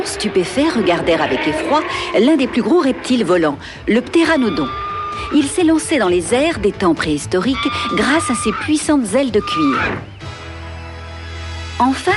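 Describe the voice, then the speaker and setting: A woman narrating a cartoon like a storybook, in a scripted dramatic manner, with music behind her. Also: a little high.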